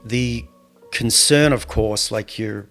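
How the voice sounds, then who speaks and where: Middle-aged New man, low voice. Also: slow pace, faint music behind. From New Zealand. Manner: steady, advisory, with frequent fillers. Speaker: home inspector guest. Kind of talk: conversational interview.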